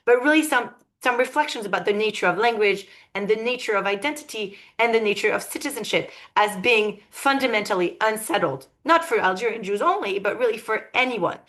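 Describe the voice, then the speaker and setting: A woman's voice: medium pitch, animated, fairly quick, hardly any pauses, a bit bright. Woman lecturing, thoughtful and searching. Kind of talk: academic lecture.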